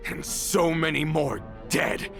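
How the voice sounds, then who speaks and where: An actor playing a bitter, grieving, vengeful traitor in a video game, with theatrical, accusatory delivery and a gravelly voice. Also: male voice, takes it slowly, full character voice. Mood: anguished, accusing. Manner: theatrical, accusatory, swinging from low menace to anguished outbursts. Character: bitter, grieving man, vengeful former comrade turned traitor. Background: music.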